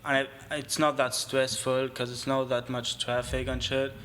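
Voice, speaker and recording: A young man from Germany and Spain whose first language is German, talking in a casual, halting way full of "like" as a guest in a studio interview. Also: medium pitch, flat delivery, fairly bright tone. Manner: loose, halting, heavy use of "like" and swearing. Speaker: guest, BMX rider.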